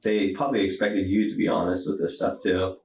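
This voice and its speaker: A man's voice: low voice, steady tone, fairly quick, a little mellow. A man working as a detective, asking probing, pressing questions in an interrogation. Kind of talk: police interrogation. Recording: echoey room with noise and clicks.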